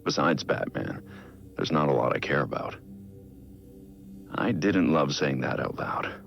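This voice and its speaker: A man acting an earnest, brooding vigilante in a video game audio log, speaking in a measured, reflective, confiding way with a gravelly, very deep voice. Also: full character voice. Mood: weary, introspective. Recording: dull game audio with music behind.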